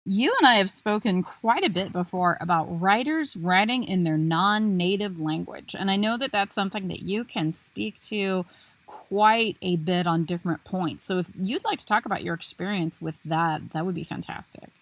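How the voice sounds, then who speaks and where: A woman hosts an interview, asking friendly questions and jumping in with quick affirmations. Her pitch is middling. The recording is dry and close. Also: no echo. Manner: encouraging, quick to react with short affirmations. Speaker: podcast host. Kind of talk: casual interview chat.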